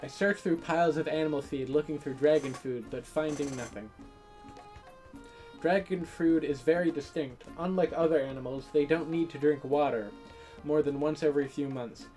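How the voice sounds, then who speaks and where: A woman's voice: sits low, normal speed, warm tone, soft background music. A woman reading a visual novel aloud and adding casual commentary as host of a gaming video.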